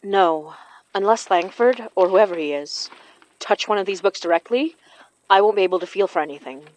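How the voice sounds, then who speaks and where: A woman's voice: mid-range voice, moderate pace, close to an everyday voice, poor audio. A woman acting in an audio horror drama as an earnest, knowing spirit medium who blames herself. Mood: explaining, serious. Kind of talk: audio fiction podcast acting.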